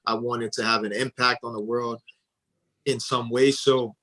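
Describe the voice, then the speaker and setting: A man's voice: mid-range voice, flat delivery, pushed and bright, low-quality recording. A man in his thirties from Liberia, a radio interview guest, talking earnestly with touches of humor. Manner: earnest, measured, with light humor.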